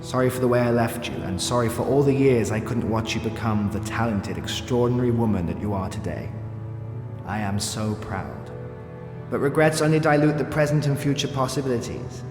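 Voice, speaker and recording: A man's voice: mid-range voice, close to an everyday voice. Man playing a genteel fatherly mentor, reverberant voice. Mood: fond, indulgent. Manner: genteel, measured, affectionate. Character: tender, regretful, worldly older male mentor, father-like. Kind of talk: audio drama letter read as voice-over. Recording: echoey long reverb, music underneath.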